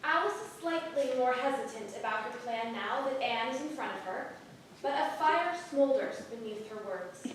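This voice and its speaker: Stage narrator: woman, high voice, reading scripted directions, distant mic in a very echoey hall. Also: expressive, normal speed, a little hiss or hum. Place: theatre stage, recorded from the audience.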